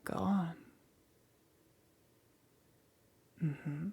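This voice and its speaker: A woman voicing a menacing, teasing demon queen in an ASMR roleplay, with a breathy, whispery voice and a coaxing, softly amused, commanding manner. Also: low voice, fairly even intonation, takes it slowly, full character voice.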